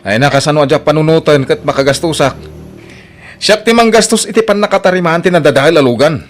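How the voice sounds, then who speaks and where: A man's voice: on the high side, expressive, fast talker, lightly acted. An actor playing a troubled, self-pitying, hard-drinking husband in an emotional audio drama, complaining about his life. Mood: agitated complaining. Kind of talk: Ilocano radio-style drama acting.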